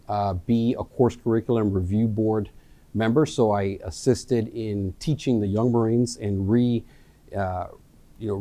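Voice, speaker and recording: A man in his forties from Miami, a retired Marine, introducing himself as a local election candidate in an earnest, halting way with many ums and restarts. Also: lowish voice, mellow, dry recording. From Miami, USA. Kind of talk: candidate introduction, casual speech. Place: local access studio.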